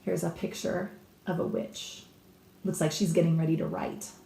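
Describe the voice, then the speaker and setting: A woman's voice: fairly low pitch, flat delivery, normal speed, creaky, warm tone. A woman narrating a children's book as a read-aloud, in a roomy recording made a little away from the mic. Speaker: storybook reader. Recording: roomy echo, slightly distant mic.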